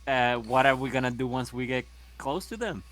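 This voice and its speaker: A man's voice: medium pitch, a little fast. A man playing a tabletop role-playing game in character, speaking bluntly and swearing freely. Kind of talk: in-character casual game chat.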